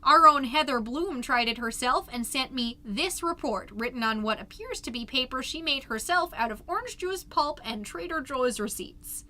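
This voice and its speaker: Perky suburban mom podcast host, played by a woman, very high bright voice, chatty and fretful, scripted comedy. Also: expressive, normal speed, hardly any pauses, slight background noise. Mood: chatty, wry. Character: fretful, chipper suburban mom hosting a neighborhood newscast.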